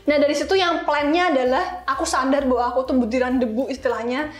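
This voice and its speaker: High-voiced young woman, echoey room. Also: fairly even intonation, fast talker. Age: young adult. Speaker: interview guest, iOS developer. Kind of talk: casual conversational storytelling. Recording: roomy, echoey sound.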